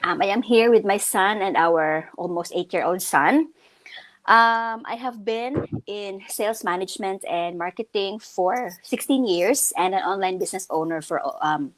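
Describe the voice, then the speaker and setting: A woman from the Philippines co-hosting a casual online business chat, chatty and upbeat with frequent fillers and restarts. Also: fairly low pitch. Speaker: co-host. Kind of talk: casual live-stream chat.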